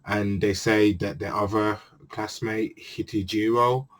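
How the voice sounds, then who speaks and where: A low-voiced man talks casually and earnestly as a channel host recapping a manga chapter. He often stops partway through a phrase and starts it again. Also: fairly even intonation, a little slow. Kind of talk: casual spoken review monologue.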